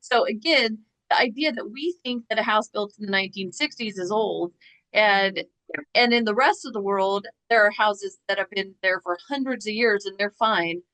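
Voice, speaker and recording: A woman hosting a chat show, talking casually with quick asides and rhetorical questions. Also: medium pitch, pushed and bright, dry recording, rough recording. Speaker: podcast host.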